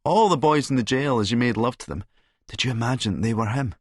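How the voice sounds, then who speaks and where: A man playing a cocky, scheming Scottish hustler who talks smoothly and mockingly, with a theatrical delivery, in an audiobook. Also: medium pitch, fairly quick, no echo, natural voice. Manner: taunting, theatrical.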